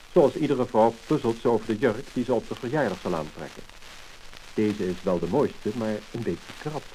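A man with a Netherlands Dutch accent reading a scripted 1950s narration in a measured, formal manner. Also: mid-range voice, dark tone. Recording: crackly, hissing mid-century disc recording.

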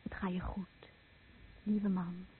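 An actress playing a devoted fisherman's wife in a radio play, husky, solemn and tender. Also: fairly low pitch, little pitch movement, takes it slowly, slight background noise. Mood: soft farewell. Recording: phone-like old radio recording.

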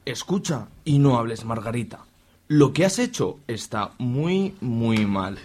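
A man with a Spanish accent from Spain playing a stern, angry middle-aged father in an amateur radio soap, with a husky voice and a threatening manner. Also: mid-range voice, expressive, a little slow, a little mellow.

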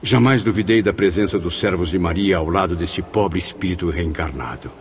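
An anguished, low-voiced lamenting spirit. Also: male voice, normal speed, a little hiss or hum. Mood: troubled, earnest. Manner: dramatic, lamenting delivery. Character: anguished male spirit, pleading and compassionate. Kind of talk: radio drama acting. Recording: music under the voice. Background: background music.

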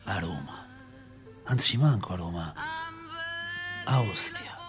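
A man's voice: deep voice, slow pace, over music, heavily acted. An actor playing a chatty Roman father who tells city tales in a folksy, rambling way, with a Roman accent. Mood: grieving, bitter. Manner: animated, folksy, rambling, rhetorical. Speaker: actor in a radio theatre monologue. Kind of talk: colloquial dramatic storytelling.

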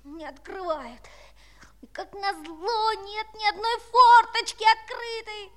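A woman's voice: very high voice, fairly even intonation, slow, sharp tone, full character voice. A woman playing a cheeky, hot-headed little boy in a children's audio play, pert, boastful and whiny. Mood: dejected, puzzled.

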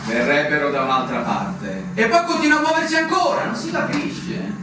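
A brooding military officer in a radio play, speaks in a fairly high, declamatory voice. His delivery swings widely in pitch and pauses for drama. He sounds distant in a very echoey space, with music behind him. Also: huge pitch swings, noisy background. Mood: doubtful. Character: brooding, solemn male army officer on fortress watch. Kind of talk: theatrical radio drama acting.

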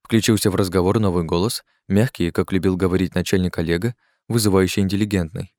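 A man reading an audiobook in steady, descriptive scripted narration. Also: sits low, fast, no room sound, studio quality.

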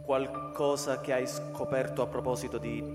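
An actor playing a probing, confiding office colleague in a radio drama, measured and matter-of-fact. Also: male voice, medium pitch, little pitch movement, natural voice. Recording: echoey hall, music behind. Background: music.

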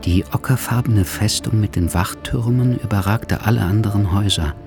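A man's voice: on the low side, normal speed, music in the background. A man narrating a literary radio drama in scripted prose.

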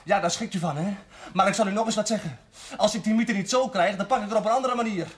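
An actor playing a bitter, sneering young male prisoner, fairly high-voiced. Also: fast talker, lightly acted. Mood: cruel, taunting. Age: young adult. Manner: sneering, sarcastic. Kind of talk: television drama acting.

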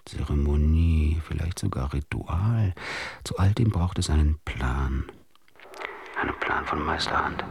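Low-voiced, muttering, brooding man. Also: heavy background noise, full character voice. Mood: deliberating. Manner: halting, muttering, often dropping into breathy whisper. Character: brooding man obsessively deliberating over absurd trifles. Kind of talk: literary radio drama monologue.

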